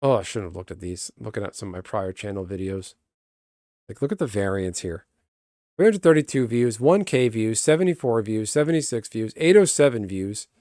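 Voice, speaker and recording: Calm, rambling man on a dry close mic. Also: lowish voice, a little fast, no room sound. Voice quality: calm, even voice. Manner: rambling, self-interrupting, chats with viewers. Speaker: streamer. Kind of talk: casual live-stream chat.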